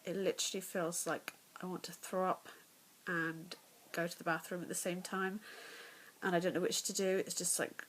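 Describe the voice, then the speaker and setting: A woman's voice: on the low side, flat delivery, moderate pace, fairly bright tone, slight background noise, rough recording. A young English woman vlogging casually about her pregnancy, congested and nasal, chatty and candid, often dropping to a whisper, at home in the evening. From England.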